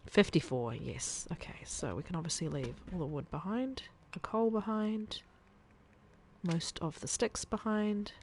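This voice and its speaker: A woman streaming a survival game, thinking aloud in a chatty way with soft muttered asides. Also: fairly low pitch, measured pace, a little hiss or hum. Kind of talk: casual gameplay commentary.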